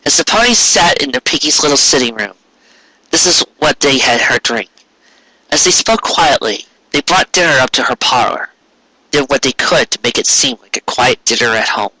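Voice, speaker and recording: Amateur male audiobook narrator: mid-pitched, sharply bright voice, scripted storytelling. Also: expressive, normal speed, slightly rough, dry recording, rough recording.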